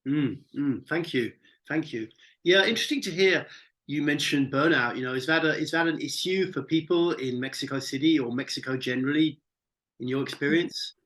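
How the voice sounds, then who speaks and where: A man's voice: a little high, moderate pace, fairly bright tone. A man hosting an interview show, introducing his guest and asking questions in an easygoing way, with plenty of "um" and "uh" fillers. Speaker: podcast host.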